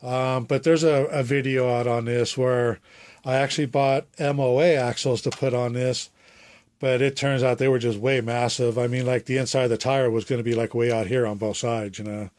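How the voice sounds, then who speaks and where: Halting man with a phlegmy cold. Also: medium pitch, fairly even intonation, darkish tone, no echo. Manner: halting, many fillers, unscripted. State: catching a cold, phlegmy throat. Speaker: RC hobbyist showing his build. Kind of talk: casual build update.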